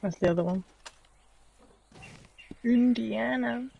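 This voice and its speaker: A woman in her forties, hoarse and losing her voice, chatting playfully and teasing while gaming on a stream. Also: on the high side, takes it slowly, dull audio, slight background noise. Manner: playful, teasing, coos at animals. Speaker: streaming co-player. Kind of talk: casual chat while gaming.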